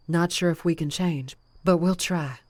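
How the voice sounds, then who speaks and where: A woman's voice: on the low side, a little slow, darkish tone, no room sound. A woman playing a humbled but loyal bandit in a fantasy drama, with plain, folksy outlaw speech. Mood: humbled, sincere. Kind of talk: fantasy audio drama acting.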